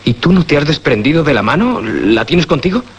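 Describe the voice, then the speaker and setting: A man's voice: fairly high pitch, very animated, a little fast, dull audio. An actor playing an agitated, impatient man in a radio drama, insistent and questioning. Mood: urgent, impatient. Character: agitated, impatient, sceptical man demanding answers.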